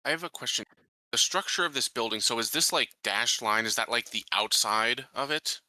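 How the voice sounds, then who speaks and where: A man's voice: mid-range voice, normal speed, bright, forceful tone, dry recording, low-quality recording. A man playing a tabletop game, talking casually and asking questions.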